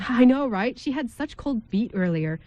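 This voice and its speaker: Woman playing affable young man, high-voiced. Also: animated, moderate pace, mellow, no room sound, lightly acted. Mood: amused, easygoing. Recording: faint noise, amateur room recording.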